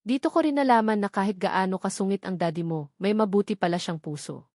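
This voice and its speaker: A woman's voice: mid-range voice, fast talker, darkish tone, dry recording, studio quality, close to an everyday voice. A woman voicing a loving, fond mother with an affectionate way of talking in an audiobook romance. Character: loving mother, fond and teasing toward her family.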